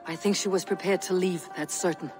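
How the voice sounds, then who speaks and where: An actress playing a stern, measured female Viking raider in a video game, speaking bluntly. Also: on the low side, steady tone, music in the background, natural voice. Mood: grave, blunt.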